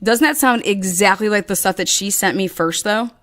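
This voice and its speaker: A woman guest in an interview telling a long story in a casual, animated way and acting out quoted dialogue. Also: mid-range voice, normal speed. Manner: rambling with frequent restarts.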